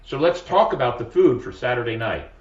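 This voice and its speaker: A man's voice: medium pitch, very animated, a little fast. Host man, roomy far-off lo-fi sound. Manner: rambling, self-correcting, jokey. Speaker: podcast host. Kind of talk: casual podcast chat. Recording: roomy, distant, dull lo-fi sound.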